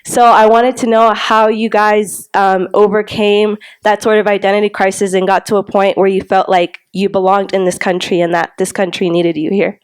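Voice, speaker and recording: A woman's voice: medium pitch, level tone, normal speed, hardly any pauses, smooth. A young woman from the audience asking a panel a question, polite and hesitant. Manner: polite, hesitant, many ums. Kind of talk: question from the floor.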